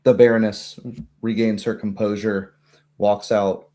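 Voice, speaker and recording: Dark, mellow-voiced male game master. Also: on the low side, little pitch movement, a little slow. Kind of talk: descriptive tabletop game narration.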